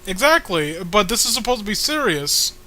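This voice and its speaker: High-pitched, exasperated man riffing. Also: sing-song, bright, forceful tone. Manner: exasperated ranting, profane, halting. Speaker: co-host of a comic read-along. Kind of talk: casual comedic riffing and commentary.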